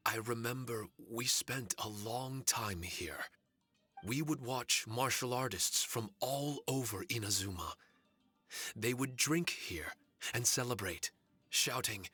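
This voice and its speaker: A man playing a solemn, courteous male spirit servant in an anime game, speaking formally and earnestly in a measured way. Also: mid-range voice, pushed and bright, husky, no echo, full character voice. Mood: reflective. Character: solemn, courteous male shikigami spirit warrior with fragmented memories. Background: faint music.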